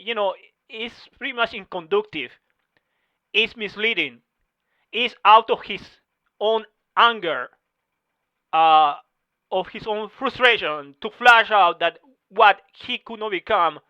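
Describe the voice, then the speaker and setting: A middle-aged man whose first language is neither English nor French, giving halting testimony full of pauses and restarts over a video call. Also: sits high, bright, forceful tone, dry recording. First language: not English or French. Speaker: Masters track and field athlete. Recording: slightly muffled video call audio.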